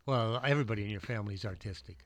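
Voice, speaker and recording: A man's voice: fairly low pitch, fairly bright tone, no echo. Chatty man on a dry studio mic. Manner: chatty, stumbling restarts, reads contact details slowly. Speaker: computer class teacher and show regular. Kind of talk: casual radio chat.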